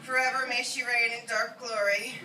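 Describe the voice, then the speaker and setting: A woman's voice: fairly high pitch, level tone, moderate pace, close to an everyday voice. A woman as a haughty vampire in echoey room. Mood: formal, resigned. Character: haughty, weary male vampire delivering formal greetings. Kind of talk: comic dramatic reading. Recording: roomy, noisy, distant old iPod recording.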